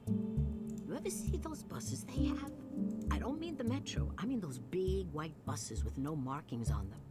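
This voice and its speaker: Low and lively, this is a gossipy, suspicious old woman rambling away in a video game. Her pitch swings about freely, and music plays clearly behind her. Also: measured pace, heavily acted. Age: older.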